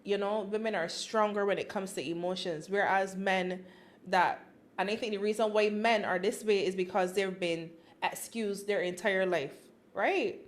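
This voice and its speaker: A woman from Barbados with a Barbadian accent, co-hosting a podcast and telling a story with lively laughter. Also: medium pitch, normal speed. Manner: animated storytelling, laughs often. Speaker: podcast co-host. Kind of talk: casual chat.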